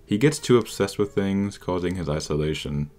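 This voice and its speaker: A man's voice: sits low, expressive, normal speed, darkish tone. A man reading a scripted, analytical video essay as narrator, with instrumental music behind him.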